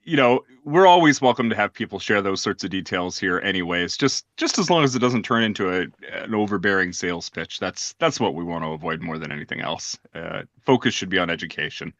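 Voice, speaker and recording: A man's voice: deep voice, expressive, a little fast, hardly any pauses, fairly bright tone, dry recording, highs cut off. A man hosting a club meeting over a video call, explaining the rules in a hesitant way with many fillers. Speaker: club host. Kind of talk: meeting moderation.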